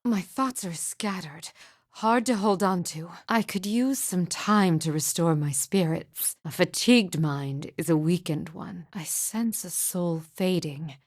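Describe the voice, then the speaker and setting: A woman's voice: on the low side, a little slow. An actress playing an enigmatic, mournful woman seer in a fantasy video game, speaking in a formal, cryptic and solemn manner. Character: enigmatic, soft-spoken, mournful woman seer, veiled from others' eyes.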